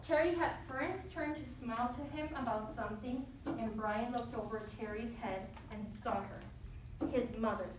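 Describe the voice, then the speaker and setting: A woman teacher speaks in a fairly high voice, leading her pupils and reading to them with an encouraging manner. The sound is heavily reverberant and a little distant from the mic, with faint noise. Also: moderate pace. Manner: encouraging, addresses pupils as friends. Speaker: elementary school teacher. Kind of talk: leading a class and reading a story aloud.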